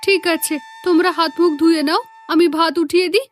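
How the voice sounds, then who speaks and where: A woman plays a cartoon village wife with a Bengali accent. Her extremely high voice is homely, exclamatory and anxious, set over background music. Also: steady tone, brisk. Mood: caring. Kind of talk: Bengali fairy tale cartoon dubbing. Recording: music under the voice.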